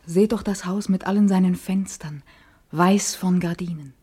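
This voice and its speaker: An actress playing a sensitive, intense female character in a poetic radio play, with a breathy, dark voice. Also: on the low side, normal speed. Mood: wondering.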